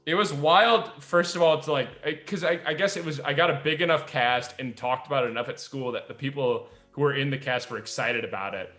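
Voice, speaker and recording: A young adult man, a podcast host and writer, chatting casually in an animated, rambling, self-deprecating way. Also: medium pitch, a little fast, few pauses, roomy sound, faint music behind. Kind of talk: casual chat.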